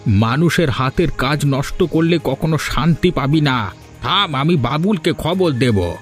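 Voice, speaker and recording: A frail but righteous old village man from a cartoon, acted by a man. His voice is a little high and very slow, admonishing, over faint music. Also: lively intonation. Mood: scolding. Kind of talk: cartoon voice acting.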